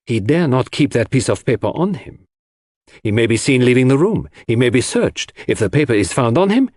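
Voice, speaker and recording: A man voicing a clever, confident male detective in an audiobook, explaining his deductions in a deliberate, theatrical way. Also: medium pitch, darkish tone, husky, dry recording, clean studio sound. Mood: dramatic, suspenseful. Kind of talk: audiobook character dialogue.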